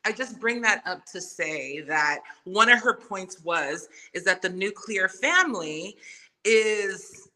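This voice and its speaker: A woman's voice: mid-range voice, expressive, slow pace, bright, forceful tone. A woman from Samoa, a native Samoan speaker, talking candidly and casually with slang and swearing as a podcast guest. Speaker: podcast guest, music artist. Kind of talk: casual conversational interview.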